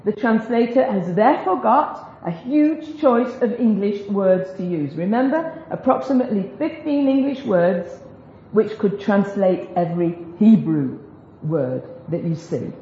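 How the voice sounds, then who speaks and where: A woman teaches a group in a relaxed, chatty way, tossing in self-deprecating jokes as she goes. The recording is roomy and echoey, with a dull, lo-fi sound and a faint hiss underneath. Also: medium pitch, unhurried. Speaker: speaker teaching a group about biblical Hebrew. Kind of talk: informal Bible teaching talk.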